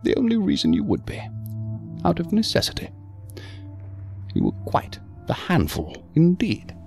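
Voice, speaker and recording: Creaky, theatrical, condescending hospital psychiatrist. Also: male voice, a little high, wildly swooping pitch, darkish tone, faint music behind, full character voice. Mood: smooth, condescending. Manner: measured, formal, theatrical, unctuous. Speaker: actor. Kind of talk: audio drama acting.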